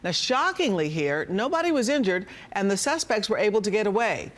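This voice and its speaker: A man's voice: sits high, very animated, fairly quick, a bit bright. A man with an American accent reading scripted television news as an anchor. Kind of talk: scripted broadcast news delivery.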